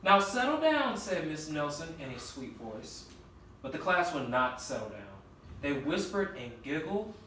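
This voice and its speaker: A man's voice: fairly high pitch, expressive, a little slow, a bit bright. Man, children's read-aloud, narrating, questioning, distant mic, strong room echo, faint noise. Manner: questioning, prompting the listener. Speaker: storyteller reading aloud to a listener.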